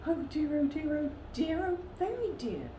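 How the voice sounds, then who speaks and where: A hapless, fretful female polar bear from a children's storybook, played by a woman reading aloud. The voice jumps high and swoops in anxious sing-song, with a roomy echo around it. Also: big pitch swings, moderate pace, warm tone. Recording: roomy echo with faint background noise.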